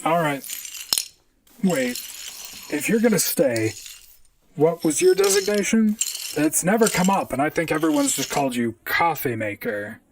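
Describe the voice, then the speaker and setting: Robot gumshoe for audio drama male actor: earnest, questioning, slightly high with fry, a little off-mic. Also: wildly swooping pitch, measured pace, long pauses, distant mic, background noise, heavily acted. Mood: bemused. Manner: earnest, questioning, narrates his own cases. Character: earnest, inquisitive robot private detective. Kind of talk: audio drama acting and narration.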